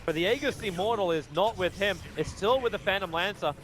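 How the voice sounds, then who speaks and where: A man with an Australian accent giving rapid, excitable play-by-play commentary on an esports match. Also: high voice, faint music behind. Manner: rapid, excitable calling of the action.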